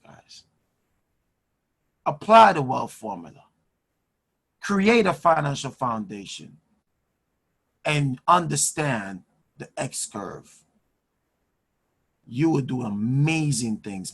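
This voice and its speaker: Gravelly man hosting a video call, slow emphatic motivational delivery. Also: medium pitch, a bit bright. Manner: emphatic, repeats phrases for effect. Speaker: host and finance mentor. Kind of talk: motivational speaking on a live call.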